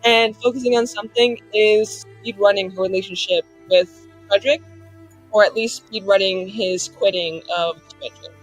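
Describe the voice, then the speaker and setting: A woman casually improvising her character's story as a tabletop game player, in animated, slangy speech peppered with "like". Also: medium pitch, normal speed, faint music behind, rough recording. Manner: animated slangy chat full of "like".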